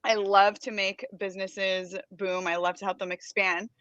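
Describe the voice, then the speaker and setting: An American woman from Los Angeles, a guest entrepreneur speaking softly, with easy laughs and some hesitant fillers. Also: mid-range voice, flat delivery, normal speed, dry recording. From Los Angeles, United States. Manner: soft-spoken, laughs easily, hesitant fillers. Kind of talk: casual interview chat. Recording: dull lo-fi dry recording.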